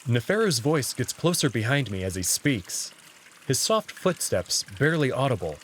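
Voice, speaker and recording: A man's voice: mid-range voice, big pitch swings, moderate pace, fairly bright tone, slight background noise. A man narrating a visual novel in the first person, reading it as a story.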